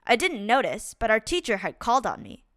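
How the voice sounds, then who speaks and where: A woman reading a children's picture book aloud as a storyteller, in an expressive, deliberate and dramatic way. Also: on the high side, faint music behind. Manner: deliberate, dramatic delivery with acted-out exclamations. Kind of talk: expressive read-aloud storytime narration for kids.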